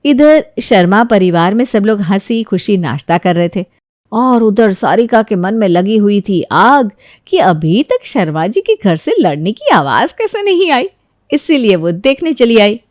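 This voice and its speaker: Female storyteller, medium pitch, scripted story narration, dry recording. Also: big pitch swings, a little slow, darkish tone, dry recording.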